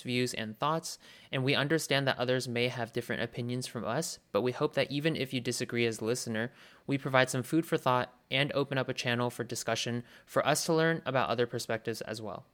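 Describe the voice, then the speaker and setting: A man in his early twenties speaks at a middle pitch with a smooth, clean tone. He co-hosts a podcast from home, easygoing and filler-heavy as he talks. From Colorado. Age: 20s. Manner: relaxed, many ums. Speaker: podcast co-host. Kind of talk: casual podcast chat, partly read. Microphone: remote home mic.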